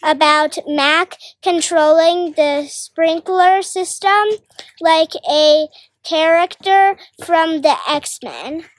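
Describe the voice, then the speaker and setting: A child reading story dialogue aloud haltingly, sounding out harder words. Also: very high voice, no echo. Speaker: young volunteer reader of character dialogue.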